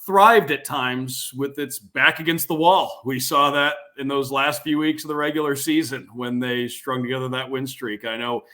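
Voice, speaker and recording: Bright-voiced man talking sports as a guest. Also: medium pitch, fairly even intonation, normal speed, few pauses. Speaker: radio play-by-play announcer, podcast guest. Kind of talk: conversational sports analysis.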